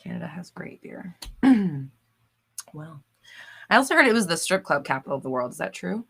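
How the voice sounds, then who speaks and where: Host type: upbeat woman, animated pitch, bright and chatty, reads comments live. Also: normal speed, gravelly, breathy edge. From United States. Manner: bubbly, laughs often, reads out viewer comments. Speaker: livestream host. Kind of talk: casual live chat reading comments.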